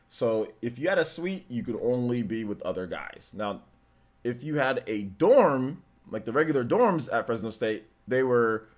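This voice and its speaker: A man's voice: mid-range voice, a little mellow. A man in his thirties streaming from his home gaming room, chatting loosely with viewers, laughing often and repeating himself. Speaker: streamer answering chat questions. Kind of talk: casual live-stream chat.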